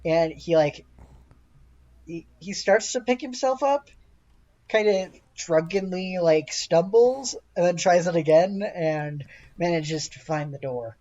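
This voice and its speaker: A man's voice: high voice, slow, darkish tone, dull audio. A man running a tabletop game as game master, narrating casually and improvising as he searches for words.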